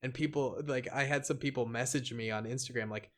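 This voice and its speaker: A man talking casually and informally as a podcast guest, a YouTuber who uses slang and halting phrasing. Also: medium pitch, fairly even intonation. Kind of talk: casual chat.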